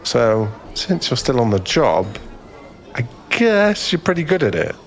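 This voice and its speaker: A man's voice: medium pitch, sing-song, unhurried, soft background music. A man playing a friendly, easily flustered young guy in a conversational ASMR boyfriend roleplay, with a hesitant, rambling, self-effacing way of talking. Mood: sympathetic, reassuring.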